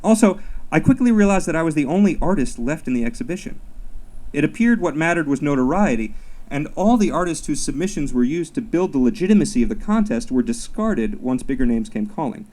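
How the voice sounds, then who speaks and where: A man gives a live literary reading of his own comic essay. His mid-pitched voice rises and falls in an animated way. He phrases deliberately and leans on words with mock-serious weight. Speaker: author reading own work.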